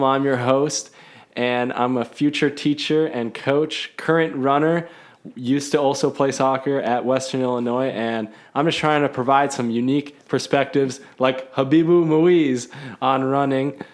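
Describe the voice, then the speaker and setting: A man's voice: mid-range voice, fairly even intonation, measured pace. A young man hosting a casual podcast chat, teasing his guest and laughing often. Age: young adult. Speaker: podcast host.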